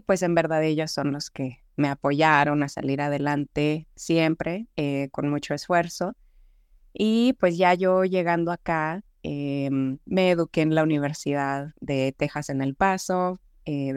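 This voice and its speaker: A woman's voice: deep voice, unhurried, a little mellow, smooth, dry recording, studio quality. Earnest young woman from Juárez border. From Mexico, Juárez border region. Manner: friendly, earnest, many fillers. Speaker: guest. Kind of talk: podcast interview.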